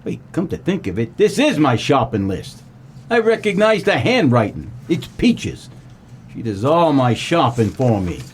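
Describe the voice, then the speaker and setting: A man's voice: mid-range voice, a little slow, slight background noise. Man playing wisecracking noir detective, swooping delivery. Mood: deadpan narrating. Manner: deadpan, wry. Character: wisecracking world-weary private detective, grown son of a crook. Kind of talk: comedic noir radio play.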